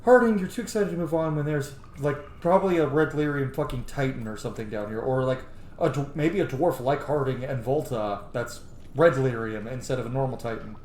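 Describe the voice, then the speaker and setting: A man streams a video game with loose, rambling, sweary commentary. His mid-pitched voice rings in an echoey room, with clear noise behind him. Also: brisk, darkish tone. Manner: rambling, sweary, self-joking. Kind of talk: casual let's play commentary.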